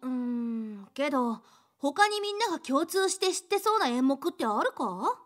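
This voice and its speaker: A playful, tomboyish schoolgirl from an anime game. Her high voice moves around a lot in pitch. She talks casually and bluntly. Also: sing-song, moderate pace. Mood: casual, pondering. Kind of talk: Japanese anime game acting.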